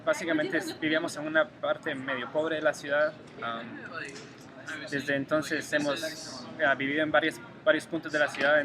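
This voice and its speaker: A young man from Guatemala with a Guatemalan Spanish accent, answering interview questions thoughtfully with many hesitations. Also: on the high side. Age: 20s. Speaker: interviewee, graduate student. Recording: noisy room ambience.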